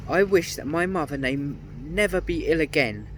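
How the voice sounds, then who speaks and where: A man's voice: a little high, animated, normal speed, fairly bright tone, slight background noise, pitched above the performer's own voice, rough recording. A man voicing an earnest young boy in a storybook reading.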